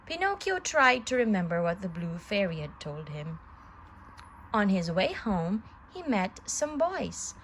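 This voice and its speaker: A woman reads a children's story aloud. She is deliberate and slow, with lively swings in pitch, and there is slight ambient noise in the recording. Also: poor audio.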